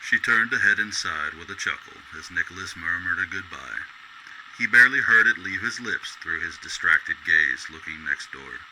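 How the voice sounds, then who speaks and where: A man narrating a scripted radio story in a measured, literary storytelling way. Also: sits low, expressive, sharp tone, a little hiss or hum, poor audio.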